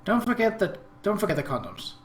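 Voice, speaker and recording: A man's voice: high voice, big pitch swings, fairly quick, slight background noise, pitched above the performer's own voice. Man voicing a brash young woman, roomy echo. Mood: flippant. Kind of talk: visual novel dialogue read-aloud.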